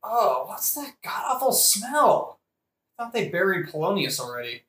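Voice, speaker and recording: Male actor as sardonic young sidekick: high voice, irritable, casual, audio-play comedy, roomy. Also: big pitch swings, moderate pace, a bit bright. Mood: irritated. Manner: irritable, offhand, wry. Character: grumpy sarcastic young man, prince's best friend Horatio. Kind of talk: modern-language satirical audio play acting. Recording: roomy echo.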